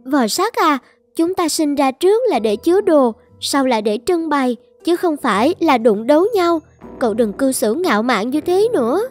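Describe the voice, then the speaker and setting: A woman voicing a humble, earnest ceramic jar in an animated fairy tale. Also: high voice, moderate pace, soft background music. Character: humble, gentle ceramic jar that wants equality.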